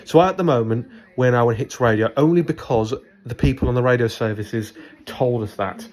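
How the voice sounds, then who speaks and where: A man giving a hands-on spoken product review in a hesitant, repetitive, measured but opinionated way. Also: mid-range voice, darkish tone. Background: background noise and a radio.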